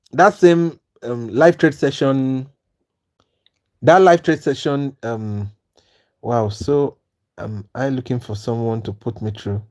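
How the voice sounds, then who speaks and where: A man's voice: medium pitch, darkish tone. Earnest Nigerian man hosting a livestream. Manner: earnest, persuasive, repeats "you understand?". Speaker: trading livestream host. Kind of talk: live-stream talk to chat viewers.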